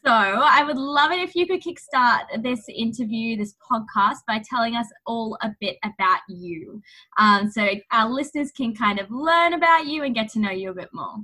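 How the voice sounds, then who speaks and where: A woman's voice: fairly high pitch, lively intonation, moderate pace, sharp tone, poor audio. A woman hosting an interview podcast, speaking in an upbeat, enthusiastic way. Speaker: podcast host.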